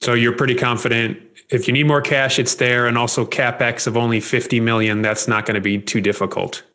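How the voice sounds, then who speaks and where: A male interviewer with a middle-pitched voice asks brief, direct questions. He keeps each prompt short and focused. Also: flat delivery, a little fast, bright, forceful tone. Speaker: interview host.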